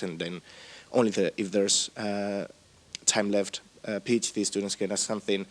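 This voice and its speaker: A man with a very deep voice reports back from a small group at a workshop. He talks in a halting, rambling way, with many filler sounds. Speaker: workshop participant.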